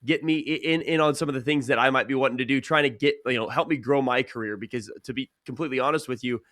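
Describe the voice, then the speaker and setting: A man's voice: medium pitch, quick pace, a bit bright, no echo, clean studio sound. A young man, a sports broadcaster, giving earnest, conversational answers as a guest in a casual interview. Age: young adult.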